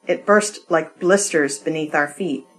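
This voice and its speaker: Slow-paced woman reading poetry. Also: low-pitched, faint music behind. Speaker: poetry reader.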